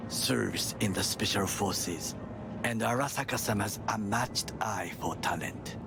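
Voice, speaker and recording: Slow, deep, gravelly Japanese-accented ex-soldier. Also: male voice. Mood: reverent, grave. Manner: formal, measured, deliberate phrasing. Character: solemn, proud older male former corporate bodyguard and soldier. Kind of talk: cinematic video game acting. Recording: loud music under the voice.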